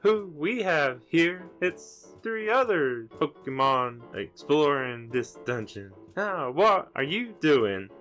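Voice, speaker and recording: A man voicing small, excitable, singsong plant creatures in a tabletop game, with a bouncy, repetitive way of talking. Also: a little high, takes it slowly, hardly any pauses, soft background music, full character voice. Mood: playful taunting.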